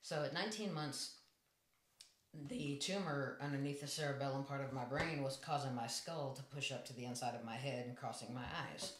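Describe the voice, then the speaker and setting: Interview guest, forties, high-voiced man, plain storyteller, roomy sound. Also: a little fast, a bit bright. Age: 40s. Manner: matter-of-fact, plain storytelling. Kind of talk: telling his life story. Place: small room at a table. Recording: echoey room.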